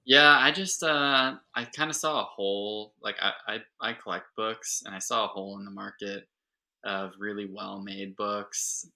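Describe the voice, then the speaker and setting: A man being interviewed as a podcast guest, talking in a relaxed, hesitant way with many fillers. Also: fairly low pitch, normal speed. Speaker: guest, bookstore owner. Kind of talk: casual interview chat.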